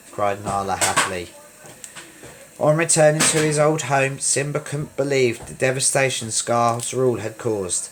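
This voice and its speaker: Man reading a storybook, noisy recording. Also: medium pitch. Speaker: storyteller reading a children's book aloud. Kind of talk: expressive read-along storybook narration.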